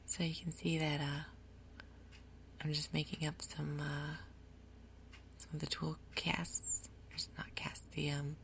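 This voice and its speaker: A woman speaks in a hushed, breathy whisper with a low, creaky edge. She gently talks viewers through a game as an ASMR host, taking her time. Some background noise sits behind her voice. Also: flat delivery. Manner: gentle, unhurried, explanatory. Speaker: gaming video host.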